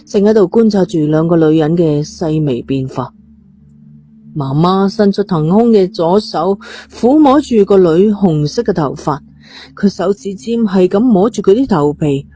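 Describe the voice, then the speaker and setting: A woman's voice: on the low side, mellow, slightly rough. A woman with a Cantonese accent reading a suspense novel as a scripted, dramatic storyteller. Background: faint music.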